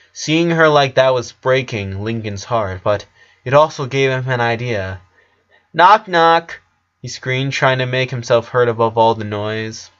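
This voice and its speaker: A man reading a story aloud as scripted narration, in the role of narrator. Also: medium pitch. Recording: dull lo-fi recording.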